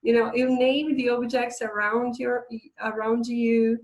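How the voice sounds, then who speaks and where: Woman, English teacher, chatty, fairly high voice, laptop mic. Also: level tone, a little slow, highs cut off. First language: Portuguese. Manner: chatty, reading slides aloud with asides. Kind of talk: online English lesson.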